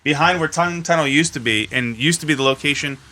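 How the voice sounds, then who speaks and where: A man's voice: a little high, fast, sharp tone. A man co-hosting a podcast, telling stories in casual chat with friends and swearing freely. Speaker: podcast co-host.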